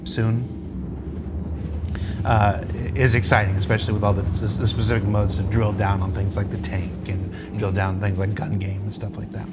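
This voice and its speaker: A man hosting a conversational interview, wordy and deliberate, often restarting his phrases. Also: fairly low pitch, little pitch movement, loud music behind the voice. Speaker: interview host.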